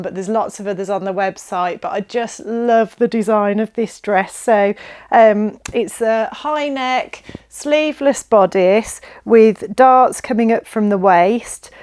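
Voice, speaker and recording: A woman presenting a casual review video for viewers. Her voice sits at an ordinary middle pitch, and she talks in a chatty, enthusiastic way, as if sharing her favourites informally. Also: a little mellow, clean tone. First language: English. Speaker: presenter of a sewing shop's pattern review video.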